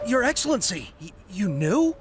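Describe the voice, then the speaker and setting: A man's voice: sits high, big pitch swings, normal speed, noisy background. A man playing a zealous, loyal young soldier in a video game, earnest, deferential and emotional. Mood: startled.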